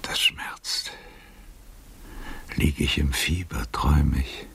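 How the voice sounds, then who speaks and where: Gravelly, very deep old cavalier. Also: male voice, huge pitch swings, slow pace, fairly bright tone, in a whisper, exaggerated voice. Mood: dreamy, astonished. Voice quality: very gravelly, husky, breathy old voice. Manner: theatrical, poetic, musing half to himself. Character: aged greying cavalier adventurer, weary, wry, dreamy romantic. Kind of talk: literary radio drama acting.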